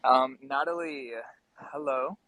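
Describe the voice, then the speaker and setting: A man's voice: medium pitch, steady tone, slow, pushed and bright, no echo, poor audio. A man hosting a casual live discussion, talking in a friendly, appreciative way. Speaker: host.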